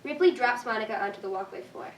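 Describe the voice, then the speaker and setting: Woman reading out script directions, highish voice, echoey room, mic far away. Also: quick pace. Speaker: narrator reading stage directions. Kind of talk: scripted narration at a staged reading. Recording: distant echoey room, faint noise.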